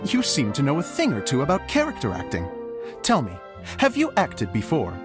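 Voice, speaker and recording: Animated, theatrical stage director. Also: male voice, mid-range voice, normal speed, music in the background. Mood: warm, earnest. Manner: effusive, chatty, theatrical. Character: eager, theatrical, self-styled stage director man. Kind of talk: video game cutscene acting.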